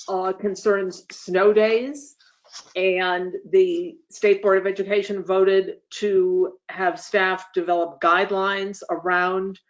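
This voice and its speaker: Dull-sounding webinar host giving formal updates. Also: medium pitch. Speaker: association leader hosting a meeting. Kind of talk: meeting update, semi-formal announcements. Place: online webinar call. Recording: dull, lo-fi online meeting audio.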